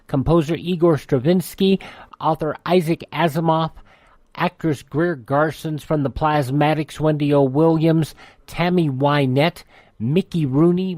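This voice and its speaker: A man's voice: a little high, a little mellow, no echo. A man hosting a radio show, reading scripted on-this-day history items.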